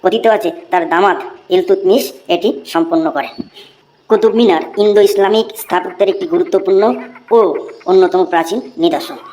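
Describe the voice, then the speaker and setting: A woman's voice: on the low side, steady tone, brisk, a little mellow, lightly acted. A woman voicing a cheeky schoolboy who recites a long essay by rote in a cartoon. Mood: recitation. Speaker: narrator and channel host. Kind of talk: cartoon voice acting.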